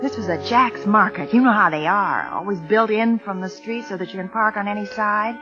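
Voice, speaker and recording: A woman's voice: mid-range voice, brisk, close to an everyday voice. An actress playing a flustered, chatty secretary who tells her story in old radio suspense style. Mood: rueful storytelling. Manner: conversational, expressive storytelling. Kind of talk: 1940s-50s American radio suspense acting. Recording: muffled vintage radio recording, music behind.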